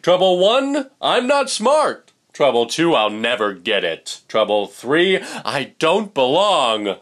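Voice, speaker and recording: A man voicing a gloomy, self-doubting young fish in a storybook, with drawn-out, dramatic complaints. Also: fairly high pitch, sing-song, a bit bright, pitched above the performer's own voice, heavily acted. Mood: woeful.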